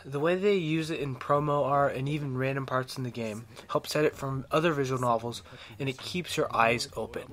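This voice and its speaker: A man's voice: mid-range voice, fairly quick, fairly bright tone. Man narrating an enthusiastic game review.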